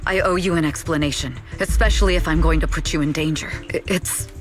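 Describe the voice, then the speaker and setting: A woman's voice: low voice, steady tone, brisk, lightly acted. A serious female bodyguard with a secret past as a spy voiced by an actress, speaking with formal, urgent conviction. She is protective and weighed down by guilt, in dramatic video game style, with some music behind her. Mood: remorseful. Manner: earnest, urgent, formal phrasing.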